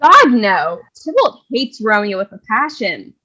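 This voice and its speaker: A woman's voice: fairly high pitch, sing-song, measured pace, pushed and bright, heavily acted. A woman in a scripted comedy, playing a patient storyteller, on a slightly muffled recording. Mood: matter-of-fact. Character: patient storyteller explaining a play.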